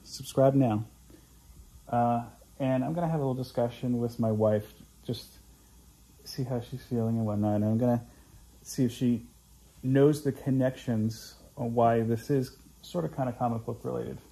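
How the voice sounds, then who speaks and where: A man's voice: mid-range voice, steady tone, moderate pace, creaky, warm tone, poor audio. A man in his forties, hosting a casual home video, talking in a rambling, chatty way with plenty of fillers. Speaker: host. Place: living room at home, indoors.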